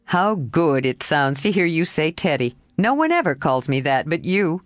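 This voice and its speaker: A woman reading aloud and voicing a mischievous, boyishly charming young man, lowering her voice in a playful, sheepish way. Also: sing-song, moderate pace, no room sound, clean studio sound. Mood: fond.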